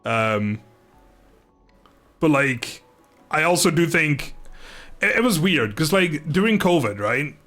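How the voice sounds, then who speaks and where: A man livestreaming a video game, chatting informally and thinking aloud in a halting, casual way. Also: on the high side, sing-song, fairly bright tone, soft background music. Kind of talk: casual livestream chat over gameplay.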